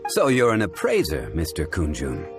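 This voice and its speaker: A deep-voiced, composed gentleman scholar from a video game. He speaks formally and with measured, erudite phrasing, his tone moving expressively over clear background music. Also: huge pitch swings. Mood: courteous. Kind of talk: video game character acting.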